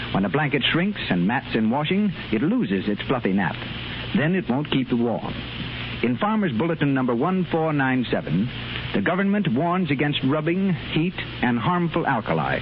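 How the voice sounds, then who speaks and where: A man's voice: medium pitch, highs cut off, noisy background. A man announcing on a 1930s radio broadcast in a polished, old-time advertising style.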